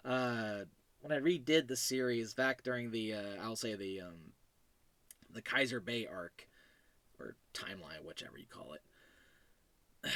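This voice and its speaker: A man's voice: mid-range voice, a bit bright, no room sound. A man hosting a solo podcast in a casual, digressive, self-deprecating way, talking about his own fiction. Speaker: podcast host.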